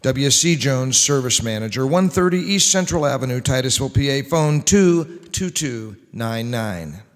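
A man's voice: medium pitch, lively intonation, moderate pace. A middle-aged man doing scripted old-time radio announcing as master of ceremonies. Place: live stage in a church-like hall. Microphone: stand microphone. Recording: reverberant hall.

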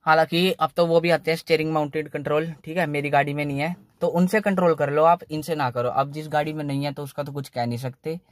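A man's voice: a little high, flat delivery, quick pace, hardly any pauses, no echo. A young man explains driving tips in a loose, repetitive way, saying "theek hai" again and again, recorded on a phone inside a parked car. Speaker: car channel host. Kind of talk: casual how-to talk in a parked car.

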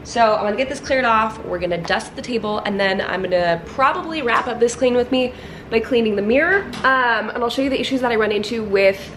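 A woman's voice: a little high, lively intonation, a little fast, a bit bright. Woman in her 30s, home vlogger, casual clean-along chatter, slight room hum. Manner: chatty, self-deprecating, laughs often. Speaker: lifestyle vlogger. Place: kitchen and entryway of a house, indoors.

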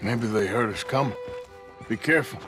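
Man playing a gruff Southern American outlaw. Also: mid-range voice, normal speed, over music, natural voice. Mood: guarded. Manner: gruff, folksy drawl. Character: gruff, cynical male Wild West outlaw gunslinger. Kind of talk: naturalistic video game acting.

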